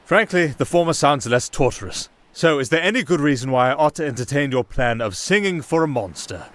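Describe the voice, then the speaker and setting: A man's voice: fairly high pitch, expressive, brisk, fairly bright tone. A man playing a wry, nervy castaway entertainer in an ASMR roleplay, sarcastic and exasperated as he talks to an unheard partner. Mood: wry, bargaining. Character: young male wandering entertainer, sardonic, reluctant, nervy castaway. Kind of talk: ASMR roleplay acting, one-sided dialogue.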